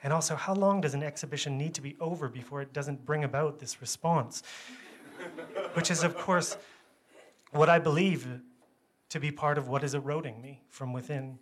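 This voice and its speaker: A man reads a first-person literary story aloud, with measured phrasing and a wry, self-deprecating manner. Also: fairly high pitch, a crowd in the background.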